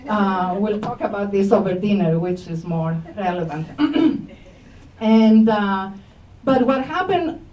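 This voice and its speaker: A woman's voice: fairly high pitch, darkish tone. A middle-aged woman with a Greek accent giving a friendly public science talk, asking the audience questions, with many fillers. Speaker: astronomy professor. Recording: echoey room, voice from far off mic.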